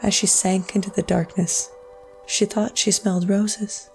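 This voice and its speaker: An actress playing a solemn storyteller, narrating a scripted dramatic tale in a measured, literary way. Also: female voice, medium pitch, little pitch movement, vocal fry, darkish tone, soft background music. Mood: mournful. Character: solemn female storyteller of a sad ghost tale.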